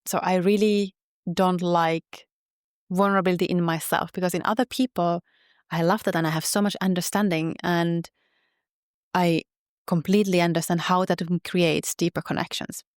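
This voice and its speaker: A woman with a Finnish accent, a podcast guest speaking in an earnest, measured way. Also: lowish voice, fairly even intonation, no echo, studio quality. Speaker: podcast guest, health coach. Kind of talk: reflective interview talk.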